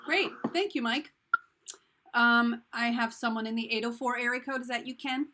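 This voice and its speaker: A woman running an online group call, calling on people by name in a brisk way and laughing easily. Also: fairly high pitch, background noise. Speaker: call organizer. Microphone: video call.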